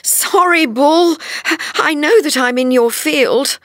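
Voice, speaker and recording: A woman playing an earnest, anxious young heroine in a dramatised audiobook, with a husky, breathy voice and expressive, deliberate delivery. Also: sits high, no echo, studio quality. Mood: nervous, placating.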